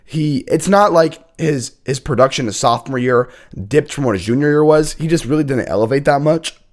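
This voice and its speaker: A young man hosting a college football talk show, hoarse and sick with a nearly lost voice, rambling through stats with fillers and throat-clearing. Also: fairly high pitch, a little fast. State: sick, hoarse, losing his voice. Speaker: host. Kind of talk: casual sports commentary.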